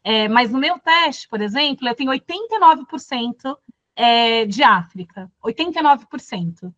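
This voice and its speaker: Soft-toned Brazilian woman teaching. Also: fairly high pitch, lively intonation, normal speed, no echo, lo-fi sound. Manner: thoughtful, many fillers and restarts. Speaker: course facilitator. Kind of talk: casual classroom talk.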